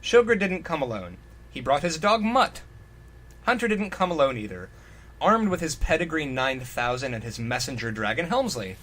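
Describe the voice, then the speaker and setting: A man's voice: fairly high pitch, big pitch swings, moderate pace, fairly bright tone. A man reading fan fiction aloud in a mock-dramatic style, breaking into jokey, profane casual commentary.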